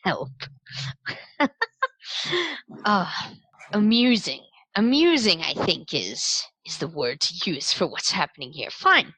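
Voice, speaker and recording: A proud, bitter half-elf villain, acted out by a woman, androgynous and rather low in pitch. The delivery is slow and disdainful, sinking into breathy whispers in a dry recording, with the theatrical weight of a tabletop-game mastermind. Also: big pitch swings, pushed and bright, no echo, full character voice, poor audio. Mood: haughty, menacing. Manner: slow deliberate pauses, disdainful monologuing.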